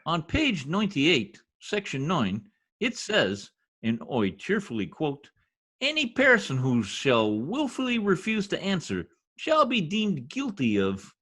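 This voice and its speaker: A man plays a bungling, boastful husband in a 1950s-style radio comedy. His husky voice swoops all over as he teases with folksy bluster and mangled grammar. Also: on the high side, huge pitch swings, unhurried, fairly bright tone, full character voice. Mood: smug, gloating. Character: gleeful needling blowhard husband, bungling new census taker. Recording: remote video-call radio play recording.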